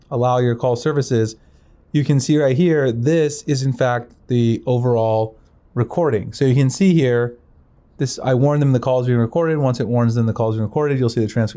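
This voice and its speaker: A man's voice: medium pitch, mellow. A man presenting a casual step-by-step phone tutorial in an informal, conversational, explanatory way.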